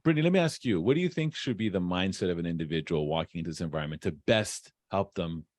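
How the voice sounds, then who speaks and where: Male interviewer for a podcast, fairly low voice, dry sound, conversational and affirming. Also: lively intonation, fast, a little mellow, no room sound.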